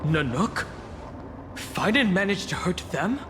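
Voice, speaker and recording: An actor playing a wary, earnest young hero in a video game, with a questioning manner. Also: male voice, high voice, very animated, takes it slowly, background noise, exaggerated voice. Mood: astonished. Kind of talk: dramatic video game voice acting. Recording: long hall-like reverb.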